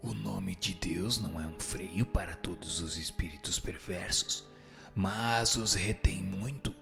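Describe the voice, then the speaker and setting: A man's voice: deep voice, measured pace, creaky, over music. A man playing a solemn spirit instructor in a radio drama, reciting didactic answers in an admonishing, formal way. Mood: solemn, instructive. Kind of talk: dramatized reading of a spiritist text.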